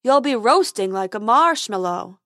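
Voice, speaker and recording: A woman voicing a silly, stubborn male unicorn who speaks slowly and emphatically. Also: fairly high pitch, expressive, pushed and bright, no echo, studio quality. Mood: eager. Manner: slow, emphatic, talks about himself by name.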